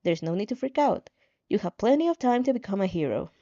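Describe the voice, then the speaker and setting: A woman voicing a calm, controlling game-like system guide in a fan fiction reading, patient and reassuring with a menacing edge. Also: mid-range voice, quick pace, dull audio, natural voice. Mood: patient, persuasive.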